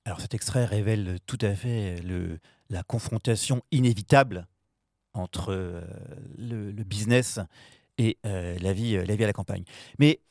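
A man's voice: on the low side, unhurried, darkish tone, no echo, studio quality. A man hosting an interview, talking hesitantly with many fillers. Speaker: show host.

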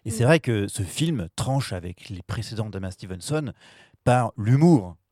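A man's voice: on the low side, expressive, moderate pace, warm tone, no echo, clean studio sound. A man hosting an interview, talking hesitantly with many fillers. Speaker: show host.

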